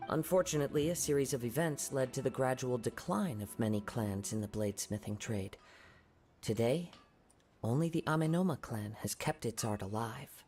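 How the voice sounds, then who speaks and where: A man voicing a calm, humble, polite young samurai in a video game, speaking formally and gently. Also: mid-range voice, moderate pace, lightly acted. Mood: explanatory. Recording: game music underneath.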